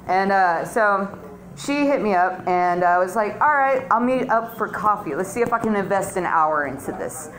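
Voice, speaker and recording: A woman's voice: medium pitch, expressive, moderate pace, a bit bright, a little hiss or hum, rough recording. A middle-aged woman performing stand-up at an open mic, telling stories in a crude, slangy, conversational way into a handheld stage mic. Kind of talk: stand-up comedy routine. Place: small dim comedy club stage, indoors. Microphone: handheld stage microphone, filmed on phone close below.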